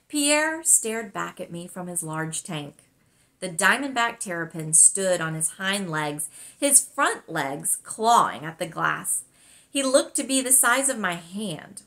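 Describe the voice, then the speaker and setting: A woman narrating a children's novel aloud as its author, with expressive, scripted storytelling delivery. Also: lowish voice. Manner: expressive, clearly dramatized delivery.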